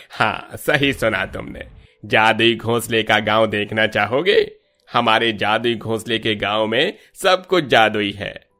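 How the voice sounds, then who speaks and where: A man's voice: fairly low pitch, slow pace, pushed and bright, faint music behind. A man playing a kindly older villager in a Hindi cartoon story, proudly explaining things to a youngster.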